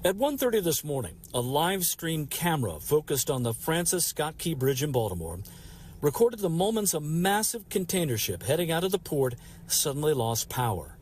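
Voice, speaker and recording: A man's voice: a little high, big pitch swings, normal speed, darkish tone. A man narrating a scripted television news package as a reporter.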